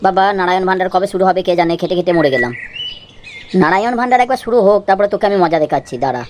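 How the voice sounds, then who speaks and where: A man's voice: high voice, racing, noisy background, lightly acted. A man voicing a henpecked village husband in a comedy cartoon, complaining and saving face in colloquial speech. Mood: grumbling, worried. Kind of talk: Bengali comedy cartoon dialogue.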